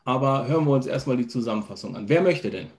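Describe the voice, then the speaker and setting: A man's voice: medium pitch, animated, fast talker, darkish tone. A man in his thirties hosting a casual interview and putting questions to his guests. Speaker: host, interviewer. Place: home room lined with shelves, video call.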